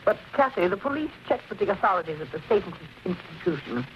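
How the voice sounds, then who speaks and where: A woman's voice: lowish voice, big pitch swings, quick pace. An actress playing a grave, worried middle-aged mother, speaking hesitantly in 1940s radio style. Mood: grave, troubled. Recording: dull, faintly noisy 1940s radio recording.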